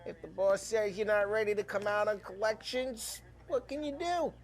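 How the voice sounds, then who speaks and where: An actor playing a brash, wisecracking mob enforcer with a cocky, casual manner in a video game. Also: male voice, sits high, normal speed. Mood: casual, needling. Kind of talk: cinematic video game acting.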